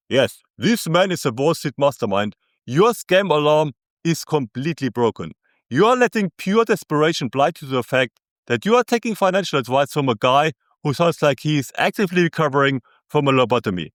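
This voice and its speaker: A man with a thick German accent ranting through scripted commentary, scornful and sarcastic. His voice sits fairly high and swings widely in pitch for emphasis. The sound is close and dry. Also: a bit bright, no echo.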